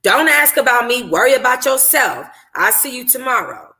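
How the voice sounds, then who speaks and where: A sulky young woman from a novel as a woman reader plays her, curt and dismissive. Her voice is bright and sharp, with a jealous edge. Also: a little high, sing-song, moderate pace, voice pushed up, heavily acted. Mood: snippy.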